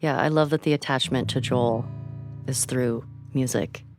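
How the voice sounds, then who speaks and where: A woman chatting casually as a performer in a commentary, halting as she searches for words. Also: extremely low pitch, fairly even intonation, music in the background. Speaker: game performer giving commentary.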